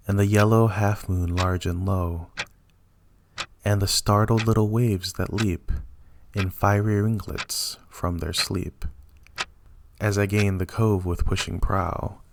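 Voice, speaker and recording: Slow, low-voiced man reciting poetry, noisy recording. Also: gravelly. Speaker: poetry reader. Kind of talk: slow dramatic reading of classic love poetry.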